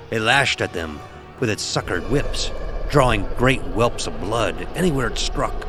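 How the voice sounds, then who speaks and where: Very deep-voiced man narrating a sea horror tale. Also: sharp tone. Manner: archaic nautical diction, dramatic, deliberate. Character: old-time sailor recounting a sea horror, grave. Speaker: storyteller narrator. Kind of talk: scripted horror story narration in character.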